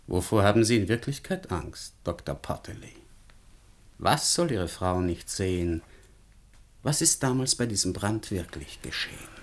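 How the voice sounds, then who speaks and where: A man's voice: lowish voice, expressive, a little slow. A man playing a determined, idealistic scientist in a German radio drama, speaking in an insistent, articulate way. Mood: probing. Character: determined, idealistic male scientist, stubborn and defiant.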